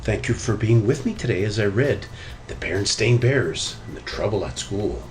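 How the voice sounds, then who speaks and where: A man reading a children's storybook aloud as narrator, in an animated storytelling style. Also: fairly low pitch, moderate pace, slight background noise. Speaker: storybook reader.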